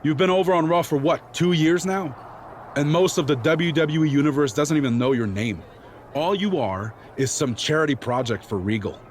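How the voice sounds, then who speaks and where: A sarcastic male pro wrestler, voiced by an actor, sneering with open scorn in a video game scene. His voice runs a bit high, with faint noise behind it. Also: normal speed, close to an everyday voice. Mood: contemptuous. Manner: sneering, mocking. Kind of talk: cinematic sports game dialogue.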